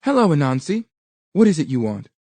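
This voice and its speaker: A woman's voice: deep voice, sing-song, a little fast, warm tone, no echo. A woman voicing a clever, sweet-spoken village girl from a storybook, kind but firm as she instructs. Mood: polite, direct.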